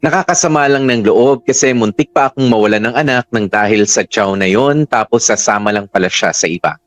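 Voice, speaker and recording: A man's voice: lowish voice, fairly quick, no room sound, natural voice. A man with a Filipino accent narrating a chatty first-person radio drama story. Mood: reflective, sassy. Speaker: narrator reading the letter sender's story. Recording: music bed under dry narration.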